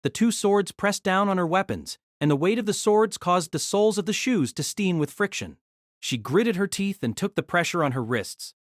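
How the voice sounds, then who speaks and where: A woman's voice: on the low side, lively intonation, normal speed, no echo, clean studio sound. A woman narrating a novel in a scripted, dramatic storytelling style.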